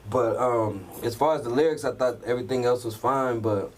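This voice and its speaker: A man's voice: mid-range voice, brisk, far from the mic, slight background noise. Laid-back man DJ talking from afar. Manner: laid-back, repeats "you feel what I'm saying". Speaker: DJ and co-host reviewing songs. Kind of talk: casual chat.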